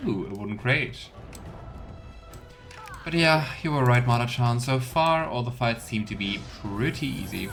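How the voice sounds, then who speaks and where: A man's voice: mid-range voice, animated, measured pace, gravelly, music in the background. A man giving casual, musing let's play commentary as a game streamer, with playful asides to his viewers. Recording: faint noise with keyboard clicks.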